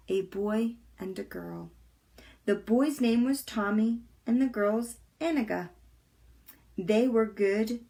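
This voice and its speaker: Female storytime reader, medium pitch, very varied intonation, expressive and deliberate delivery. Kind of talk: children's book read-aloud.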